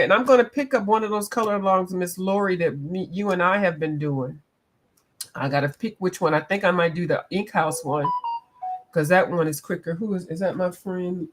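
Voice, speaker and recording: A woman from the eastern United States chatting casually with live viewers while she colors, reading out their comments and laughing often. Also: fairly low pitch. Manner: laughs often, playful, drifts between accents. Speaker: coloring livestream host.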